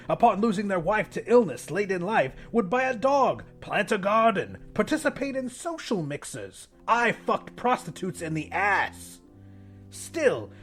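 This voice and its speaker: A man's voice: high-pitched, fairly bright tone. A man narrating an audiobook as a pompous, depraved widowed English professor in his sixties, with an ornate, theatrical delivery. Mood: defiant, pompous. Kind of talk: dramatic first-person audiobook narration.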